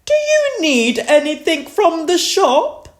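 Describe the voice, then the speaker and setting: A kindly old granny pig from a storybook, played by a woman. The voice is high and very swoopy. The manner is cheerful, doting and singsong. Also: very animated, slow, exaggerated voice.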